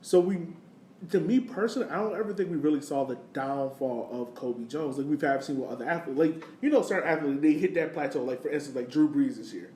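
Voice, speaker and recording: A man's voice: a little high, brisk, mellow. An American man co-hosting a sports podcast, talking soccer casually and giving his opinions in a conversational way. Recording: roomy, distant mic, faint noise.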